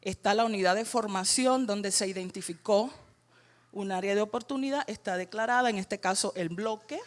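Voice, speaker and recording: A woman's voice: medium pitch, normal speed. Cuban woman leading a workshop. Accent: Cuban Spanish. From Cuba. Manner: guiding, checks in with "¿verdad?" and "ujú". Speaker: workshop trainer. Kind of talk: online training workshop.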